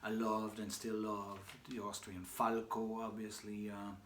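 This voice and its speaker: A man who grew up speaking Hungarian and German, talking casually about himself, with many pauses, fillers and restarts. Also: fairly low pitch, monotone, fairly bright tone. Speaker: shop owner answering questions. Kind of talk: casual personal monologue. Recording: echoey room with faint background noise.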